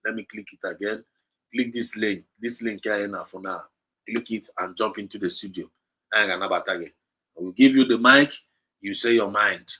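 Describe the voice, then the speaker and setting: A man's voice: lowish voice, bright, forceful tone, low-quality recording. A man with a Nigerian accent hosting a livestream, exhorting his listeners and slipping between English and Igbo as he repeats his points. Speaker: livestream host. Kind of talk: impassioned livestream talk.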